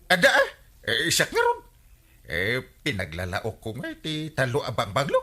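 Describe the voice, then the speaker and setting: A man with an Ilocano accent playing a vain, comical, excitable village captain in a radio comedy drama, exclaiming in an exaggerated way. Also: mid-range voice, normal speed, exaggerated voice. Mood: excited, comic.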